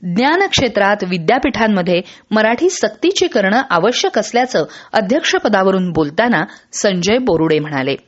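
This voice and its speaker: A woman reading a scripted regional radio news bulletin in a formal, measured way. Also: mid-range voice, big pitch swings, lo-fi sound.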